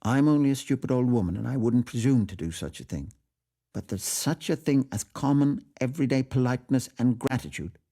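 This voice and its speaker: A man's voice: mid-range voice, animated, brisk, warm tone, pitched above the performer's own voice. A man voicing a fiery, indignant old aunt in a storybook, emphatic and scolding. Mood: hasty, aggrieved.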